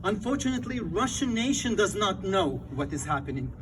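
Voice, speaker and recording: Man, Lithuanian accent, high voice, slow emphatic rally speech, distant mic outdoors. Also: big pitch swings, background noise. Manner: fervent, emphatic phrasing with rallying cries. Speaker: rally speaker.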